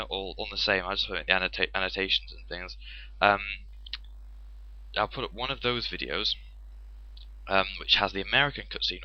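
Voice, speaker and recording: A man's voice: deep voice, pushed and bright, no room sound, rough recording. A man casually commenting over a video game, rambling with many restarts and fillers. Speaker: let's play host. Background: game music.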